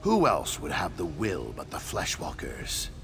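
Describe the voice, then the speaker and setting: A man voicing a suspicious, brooding swamp spirit in a video game, speaking slowly and ominously. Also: extremely low pitch, huge pitch swings, background noise, heavily acted. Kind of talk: video game character acting.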